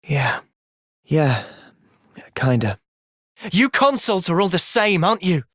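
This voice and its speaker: Young male soldier, video game cutscene, earnest, thoughtful, gentle, expressive pitch, dry recording. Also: slow, no room sound, full character voice. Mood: calm, reflective.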